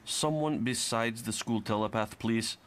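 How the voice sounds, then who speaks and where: An actor playing a bitter young Russian mutant who is fed up with heroics, defiant and argumentative, in a motion comic. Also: male voice, medium pitch, unhurried, smooth, lightly acted.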